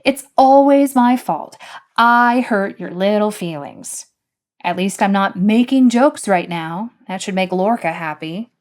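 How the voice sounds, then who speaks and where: A woman's voice: medium pitch, expressive, unhurried, natural voice. A woman voicing a sarcastic, cocky young man who needles the others in a storybook reading. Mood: griping.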